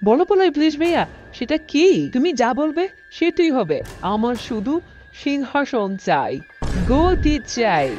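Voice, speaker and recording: A woman's voice: sits high, very animated, unhurried. A woman voicing a power-hungry, scheming old woman in a comic cartoon, theatrical and cackling, with a Bangladeshi accent. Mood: pleading, greedy. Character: scheming, power-hungry old woman, ousted ruler turned ghoulish hunter. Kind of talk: exaggerated comic cartoon voice acting. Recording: loud music under the voice.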